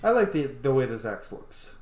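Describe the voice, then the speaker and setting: A man gives casual video game commentary with very lively, swinging pitch. He thinks aloud and swears freely. The room is echoey, with faint noise behind him. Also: normal speed. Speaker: gaming video host. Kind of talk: casual let's play commentary.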